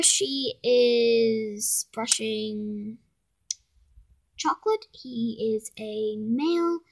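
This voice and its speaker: Young girl slowly showing off her toy horses, lots of ums, higher voice, phone mic, bit of hiss. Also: low-quality recording. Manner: hesitant, self-correcting, many ums. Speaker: toy horse collector giving a tour. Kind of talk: casual home video tour. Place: carpeted room at home, indoors. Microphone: handheld phone camera.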